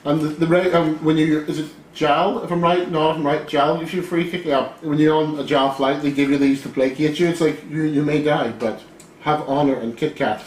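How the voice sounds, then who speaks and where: A man's voice: a little high, fairly even intonation, a little fast. Joking man hosting a taste test. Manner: joking, laughs often, rambling. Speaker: host. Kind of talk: casual chat. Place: small room with bookshelves, indoors. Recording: echoey room, faint hiss.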